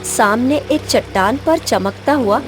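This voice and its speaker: A woman's voice: a little high, a little slow. A woman storyteller giving scripted, dramatic narration of a fairy tale. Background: background music.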